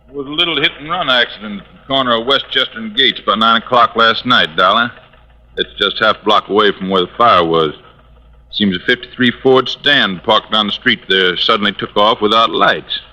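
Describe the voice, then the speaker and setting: A plainspoken American police lieutenant in a vintage radio drama. The voice is lowish and strikingly hard-edged. He lays things out firmly, with a folksy, suspicious streak. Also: fairly quick, bright, forceful tone, low-quality recording. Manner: folksy, firm, procedural. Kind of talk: 1950s radio drama acting. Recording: old-time radio recording.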